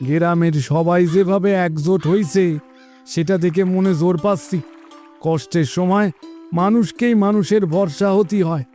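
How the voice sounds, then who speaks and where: Man playing a high-voiced, compassionate village fisherman. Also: steady tone, moderate pace, mellow, faint music behind, close to an everyday voice. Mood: concerned, determined. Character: sympathetic village fisherman eager to help neighbours. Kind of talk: animated moral story dialogue.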